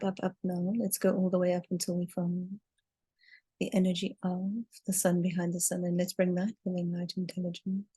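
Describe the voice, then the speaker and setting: Woman, guided meditation leader, soft-edged husky voice, soothing, deliberate, close dry recording. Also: on the low side, no echo, poor audio. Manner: soothing, deliberate, with long drawn-out counting. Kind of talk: slow guided meditation narration.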